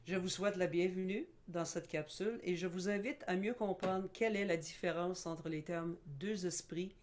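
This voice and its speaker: A middle-aged woman from Quebec, speaking slowly and carefully with pauses as a guest speaker. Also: lowish voice, a little mellow, slight background noise. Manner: slow, measured, with frequent pauses and fillers. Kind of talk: webinar presentation; scripted narration in the video part.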